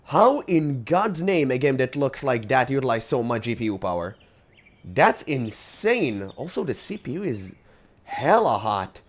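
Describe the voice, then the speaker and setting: A man's voice: medium pitch, very animated, unhurried. A man hosting a casual gaming commentary, reacting aloud in informal language, his voice recovering from a cold. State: recovering from a cold, voice recently lost. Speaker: video game content creator, host.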